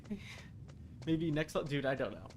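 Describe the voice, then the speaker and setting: A man's voice: a little high, sing-song, normal speed, darkish tone, slight background noise. A man livestreaming a video game with casual, rambling, easily flustered chat. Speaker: game streamer. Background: game music.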